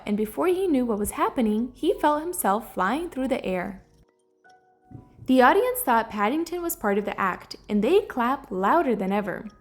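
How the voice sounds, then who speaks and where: A woman reading a children's story aloud in an expressive, storytelling manner. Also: on the high side. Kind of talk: children's read-aloud narration. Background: soft music.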